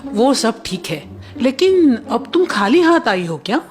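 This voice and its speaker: A woman voicing a greedy, scheming middle-aged mother-in-law in a cartoon moral story, with theatrical, drawn-out exclamations. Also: fairly high pitch, very animated. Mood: gushing, greedy.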